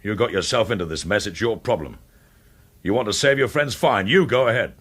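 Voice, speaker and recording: A man's voice: lowish voice, brisk, fairly bright tone, breathy edge. An actor playing a blunt, distrustful lawman giving curt orders in a radio thriller. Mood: irritated.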